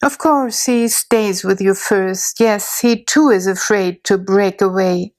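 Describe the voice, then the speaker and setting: A woman narrating a guided imagery story in a slow, soothing way, with long pauses. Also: mid-range voice, a little mellow.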